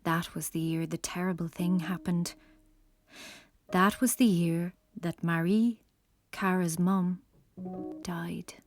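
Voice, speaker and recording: A woman's voice: on the low side, fairly even intonation, slow pace, long pauses, faint music behind. A woman narrator reading a scripted children's story.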